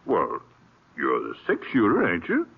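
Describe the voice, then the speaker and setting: Chummy frontier sheriff, husky, expressive, rustic and stubbornly genial, vintage radio western. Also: male voice, low voice, unhurried, full character voice. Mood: knowing. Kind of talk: 1950s radio western acting. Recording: muffled, tinny old radio recording.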